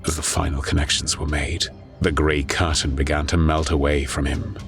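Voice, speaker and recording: A man narrates a scripted dramatic story. His voice is exceptionally deep, and his delivery is grave and deliberate. Music plays clearly behind him. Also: little pitch movement. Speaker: narrator and voice performer.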